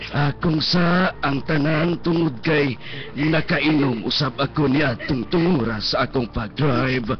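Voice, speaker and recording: A man with a Cebuano accent acting an earnest, remorseful benefactor in a radio drama, speaking in a measured, reassuring way. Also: on the high side, crowd noise, lightly acted. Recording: dull lo-fi radio stream, music behind.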